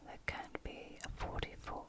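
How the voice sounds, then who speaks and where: A woman hosting ASMR in a whisper, deliberate and measured, with long pauses. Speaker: host. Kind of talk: whispered ASMR narration with a spooky espionage theme.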